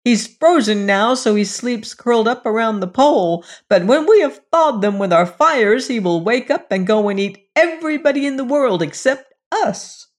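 A woman voicing a crowd of shrill, spiteful little sealskin dwarves in a storybook reading, shouting high and loud. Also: animated, moderate pace, hardly any pauses, lightly acted. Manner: shouting, screeching and taunting.

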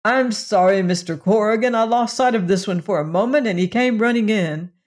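A woman's voice: mid-range voice, brisk, a little mellow, lightly acted. A woman voicing a flustered young male office assistant who apologizes and protests. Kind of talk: audiobook character voice.

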